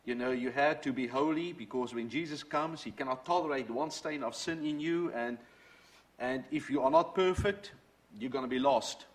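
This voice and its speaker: A man's voice: mid-range voice, normal speed. A middle-aged man, a native Dutch speaker, preaching a sermon and telling his own faith story in an earnest, storytelling way. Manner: earnest, storytelling, quotes from books. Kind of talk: sermon with personal testimony.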